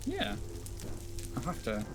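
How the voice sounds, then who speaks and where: A man in a casual, improvised Minecraft roleplay chat, speaking in a hesitant, low-key way. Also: wildly swooping pitch, slow, background noise. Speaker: guest roleplayer in gaming video. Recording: music under the voice. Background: game music.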